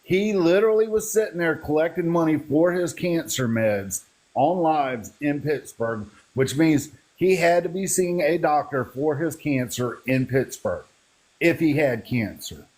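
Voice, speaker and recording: A man's voice: fairly high pitch, expressive, unhurried, a little mellow, low-quality recording. Skeptical, sarcastic man.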